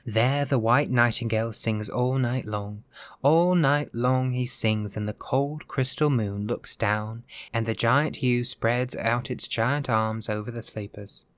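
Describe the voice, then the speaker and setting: A man's voice: animated, unhurried, no echo, lightly acted. A man playing a weary, mournful old ghost. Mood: sad, dreamy. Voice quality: soft, low, dreamy delivery. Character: lonely, weary, centuries-old male ghost, sad and dreamy.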